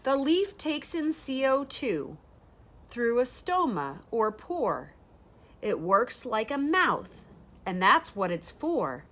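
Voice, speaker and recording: A woman in her thirties reading a rhyming children's picture book aloud in a deliberate, expressive way, pausing to explain. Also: a little high. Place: small sunroom with large windows, indoors.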